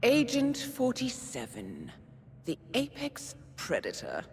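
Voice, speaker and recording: An actress playing a taunting, contemptuous phantom in a nightmare scene of a video game, with theatrical, mocking, drawn-out phrasing. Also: female voice, a little high, wildly swooping pitch, exaggerated voice. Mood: mocking contempt. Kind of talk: dramatic video game cutscene acting. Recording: loud ambient noise behind the voice.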